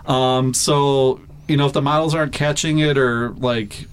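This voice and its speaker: A man's voice: medium pitch, fairly even intonation, unhurried, slight background noise. Chatty man forecaster, laughing easily. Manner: chatty, many fillers and laughs. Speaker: weather forecaster guest. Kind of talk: casual interview chat.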